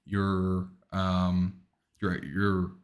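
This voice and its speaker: A man's voice: low voice, completely flat delivery, drawn-out words. A man in his thirties, an immigration lawyer, answering viewer questions in a conversational live Q&A and explaining things step by step with frequent restarts. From Canada.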